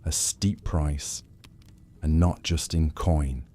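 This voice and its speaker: A man playing a low, grizzled old pirate. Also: fairly even intonation, takes it slowly, dark tone, full character voice. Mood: grim, challenging. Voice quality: low, rough, raspy. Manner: grim yarn-spinning in sailor dialect. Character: grizzled old male pirate, haunted, grim, world-weary.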